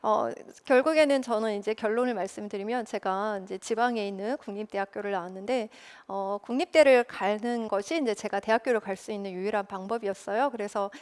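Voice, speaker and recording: A woman's voice: mid-range voice, a little fast, few pauses, clear, resonant tone. A woman in her forties telling her own career story in a lecture, candid and self-deprecating, with many fillers. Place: lecture recording.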